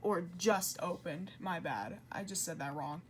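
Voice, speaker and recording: A woman's voice: lowish voice, unhurried, a little hiss or hum. A woman giving informal explainer commentary in an earnest, conversational way, often correcting herself. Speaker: video creator and commentator.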